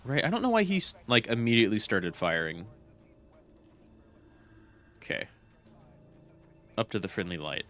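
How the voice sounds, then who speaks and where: A man's voice: lowish voice, wildly swooping pitch, normal speed, pauses often, a bit bright. A man with a stuffy nose giving casual, chatty commentary while playing a game, swearing and incredulous.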